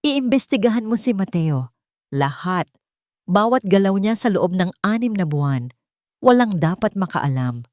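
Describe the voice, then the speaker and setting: A woman's voice: mid-range voice, very animated, a little slow, a little mellow, dry recording, clean studio sound. A woman voicing a cold, commanding male mafia boss in a narrated story, terse and controlled. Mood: cold, calm.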